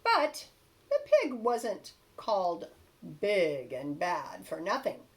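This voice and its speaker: A woman's voice: mid-range voice, huge pitch swings, slow pace, a little hiss or hum. A woman narrating a children's storybook expressively, like a teacher reading aloud to young children.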